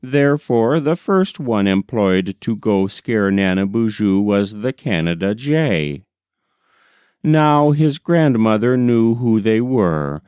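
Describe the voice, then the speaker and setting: A man reading a folk tale as scripted audiobook narration, deliberate and expressive. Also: fairly low pitch, clear, resonant tone, no room sound. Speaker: audiobook reader.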